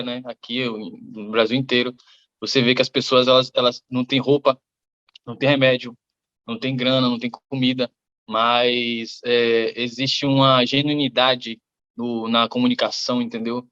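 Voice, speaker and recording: A man's voice: mid-range voice, level tone, normal speed, fairly bright tone, highs cut off. A man from Salvador, a musician answering interview questions in a reflective, rambling way full of fillers. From Salvador, Bahia, Brazil. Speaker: musician guest.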